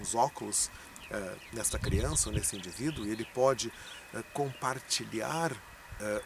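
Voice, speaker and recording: A man's voice: mid-range voice, gravelly. A middle-aged man giving an informal answer to camera in a conversational way, with frequent check-in tags. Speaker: storytelling consultant answering questions. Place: outdoors in open countryside by a field. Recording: distant mic, loud wind and outdoor noise.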